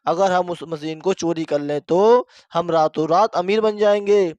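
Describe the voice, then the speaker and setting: A man acts out a scheming, greedy village thief from a cartoon moral tale. The voice is high and smooth, with boastful, exclamatory delivery and a dry sound. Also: fairly even intonation, measured pace, a little mellow, dry recording, muffled recording. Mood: boastful, excited. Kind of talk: cartoon moral story dialogue.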